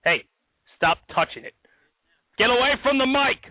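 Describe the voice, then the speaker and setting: A man's voice: very high voice, expressive, normal speed, no echo. A man hosting a live-streamed comedy talk show outdoors, joking and laughing as he rambles through the news. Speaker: host. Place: outdoors in a backyard. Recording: tinny phone-like dry sound.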